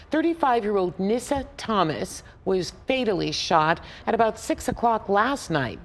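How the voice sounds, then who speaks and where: A woman news anchor reading scripted stories on television. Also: medium pitch.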